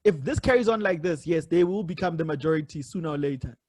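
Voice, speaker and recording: High-pitched South African man. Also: quick pace, a little mellow. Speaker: podcast host. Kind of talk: casual interview conversation.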